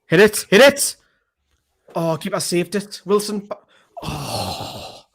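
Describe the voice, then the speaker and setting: A man co-hosting a live fan stream, calling the match and yelling at the screen, swearing freely. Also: high-pitched, sing-song, slow. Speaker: fan channel co-host. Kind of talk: excitable live match commentary.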